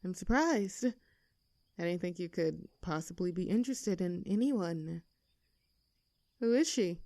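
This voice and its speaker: A woman's voice: lowish voice, lively intonation, slow, warm tone, dry recording. A young woman playing a rebellious teenage girl bully in an audio roleplay, with a sarcastic, teasing, brash manner. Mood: curious, prodding. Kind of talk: scripted roleplay audio drama.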